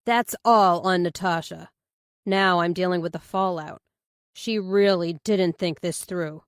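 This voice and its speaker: A woman's voice: lowish voice, measured pace, smooth, dry recording, studio quality, lightly acted. A woman voicing an angry, bitter, threatening man in an audiobook, curt and defiant. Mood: angry, menacing. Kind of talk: audiobook character dialogue.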